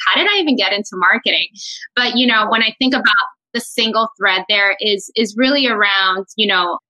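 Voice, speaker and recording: A woman's voice: mid-range voice, sharp tone, no echo, poor audio. A woman from New York City speaking as a panel guest in a video call discussion, talking conversationally with many fillers.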